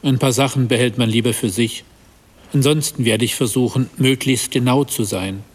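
A man's voice: mid-range voice, steady tone, moderate pace, slightly rough, natural voice. Male storyteller for radio drama monologue, played by an actor: careful, confiding, mid-range. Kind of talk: scripted radio play monologue.

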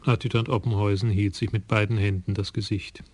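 A man narrating a radio crime drama in an even, matter-of-fact way. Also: lowish voice, a little fast, natural voice.

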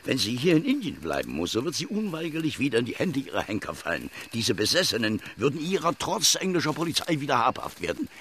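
An actor playing a gallant, worried English military gentleman in a radio drama, speaking formally and courteously. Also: medium pitch, wildly swooping pitch, fast talker, hardly any pauses, a little hiss or hum. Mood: admiring, concerned.

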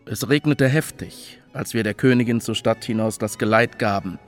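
A man's voice: lowish voice, moderate pace, faint music behind, natural voice. Actor as sober, formal male courtier. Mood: sorrowful, puzzled. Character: sober male courtier recalling his queen. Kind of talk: radio drama narration.

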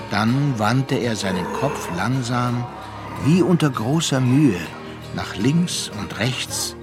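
Measured male radio drama narrator over music. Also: mid-range voice.

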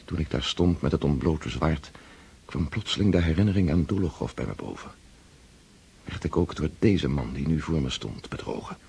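Very deep-voiced brooding count. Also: male voice, lively intonation, gravelly, a little mellow, slightly rough, full character voice. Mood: brooding, bitter. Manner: sombre, introspective, measured narration. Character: brooding, tormented aristocratic count, confessing inner doubts. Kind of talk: dramatic first-person radio narration.

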